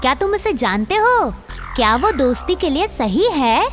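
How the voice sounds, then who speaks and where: High, childlike cartoon squirrel girl. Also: very animated, a little slow, fairly bright tone, exaggerated voice. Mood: suspicious. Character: wary, outspoken female squirrel, the monkey's old friend. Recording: music behind the voice.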